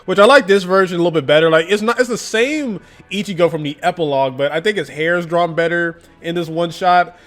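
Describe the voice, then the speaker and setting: A man's voice: on the high side, a bit bright. A man giving a casual, chatty manga review, with lots of fillers and frequent laughs. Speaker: anime and manga YouTuber.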